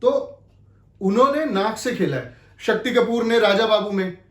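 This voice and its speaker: A man with a notably high-pitched voice presents an acting lesson. He explains things directly to the viewer in an instructional way. The room adds a clear echo to his voice. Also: a little slow, fairly bright tone. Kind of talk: instructional video talk with spoken demonstrations. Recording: echoey, reverberant room.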